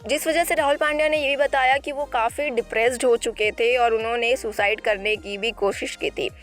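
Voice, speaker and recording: A woman presenting entertainment gossip in an informal narration, halting and often repeating or correcting herself. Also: a little high, steady tone, faint music behind. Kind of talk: informal celebrity news narration.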